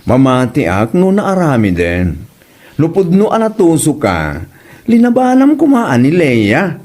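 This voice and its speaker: A man's voice: a little high, wildly swooping pitch, a little slow, a little mellow, exaggerated voice. A man playing a blunt, teasing friend who mocks and scolds in a comedy audio drama, with an Ilocano accent. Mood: scolding, egging on. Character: teasing, blunt male friend egging others on.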